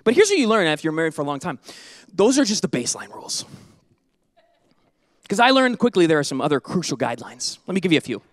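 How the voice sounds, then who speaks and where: A pastor in his thirties preaches into a handheld mic with a high, bright-sitting voice. He jokes animatedly, then sinks into soft, earnest passages. The sound is dry and close, with little room echo. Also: quick pace. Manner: animated, jokey, shifts to soft earnest tones. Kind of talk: sermon. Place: church stage, indoors.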